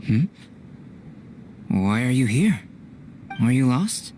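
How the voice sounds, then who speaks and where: Young game alchemist, cerebral and enigmatic, measured polite delivery at middle pitch. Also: male voice, very animated. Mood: composed. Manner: measured, formal, precise, unflappable. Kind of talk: video game cutscene acting. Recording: clear background noise.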